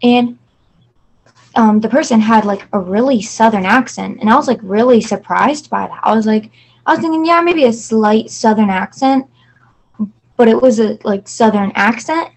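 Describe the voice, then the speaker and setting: A woman's voice: on the high side, moderate pace, breathy edge, low-quality recording. Chatty young woman from Virginia. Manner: chatty, many fillers. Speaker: student guest. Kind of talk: casual chat.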